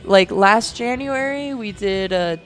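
A woman's voice: medium pitch, slow, a bit bright, faint music behind. A woman in her late twenties, a band's frontwoman, chatting casually in an interview with lots of fillers and frequent laughter. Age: late 20s. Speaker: band frontwoman, guest.

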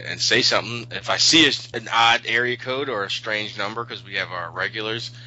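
A man co-hosting a podcast, talking casually and a bit haltingly. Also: lowish voice, sharp tone. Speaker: podcast co-host. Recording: dull, lo-fi podcast audio.

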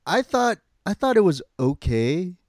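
A man hosting a podcast in casual, halting chat peppered with profanity. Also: sits high, very animated, dry recording, clean studio sound. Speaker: podcast host.

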